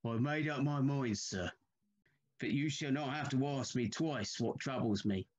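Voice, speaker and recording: A man playing a troubled railway signalman in an audio drama, haunted and deferential, speaking haltingly. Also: medium pitch, lo-fi sound, close to an everyday voice. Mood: grave, uneasy. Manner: slow, halting, deferential, uneasy.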